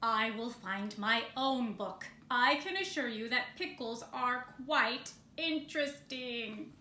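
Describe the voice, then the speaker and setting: A woman's voice: on the high side, slow, sharp tone. A woman voicing a grumpy, pompous male bear from a children's storybook, imperious and bellowing in formal words. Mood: loud, indignant. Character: loud grumpy pickle-loving bear, male, huffy and pompous. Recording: roomy echo, faint background noise.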